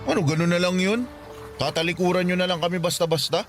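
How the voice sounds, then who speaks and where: A wounded teenage Filipino son in a radio drama. His voice sits fairly high, hesitant and full of feeling, with music playing underneath. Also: fast talker. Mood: pleading, hurt. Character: hurt, pleading teenage son confronting his runaway mother. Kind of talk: Tagalog radio drama acting.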